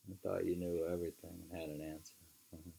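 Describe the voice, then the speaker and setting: A man reading literature aloud in an emphatic, deliberate, musing way, with informal asides. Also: sits low, flat delivery, vocal fry, mellow, breathy edge. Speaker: reader.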